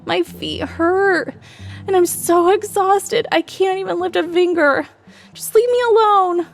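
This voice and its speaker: Woman acting a whiny, high-pitched exhausted young woman. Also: unhurried, heavily acted. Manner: whining, shaky, breathy gasps. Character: whiny, exhausted young female student, proud and prickly.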